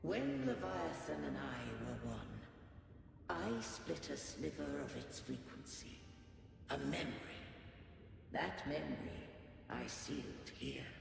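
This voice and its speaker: A man's voice: mid-range voice, animated, slow, vocal fry, exaggerated voice. An actor playing an ancient, solemn male guardian of a fallen civilization in a video game, with grave, stately, archaic speech. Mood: solemn, foreboding. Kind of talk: dramatic video game voice acting. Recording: long echoing reverb, music underneath. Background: game music.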